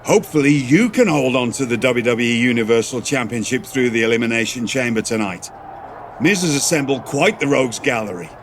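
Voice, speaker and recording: An actor playing an older male general manager in a video game, speaking formally and in measured phrases. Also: mid-range voice, expressive, slight background noise, lightly acted.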